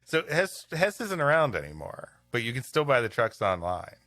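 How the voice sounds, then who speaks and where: Bright, animated male comedy podcast reader. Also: mid-range voice, moderate pace, no room sound, soft background music. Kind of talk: scripted story reading mixed with casual banter.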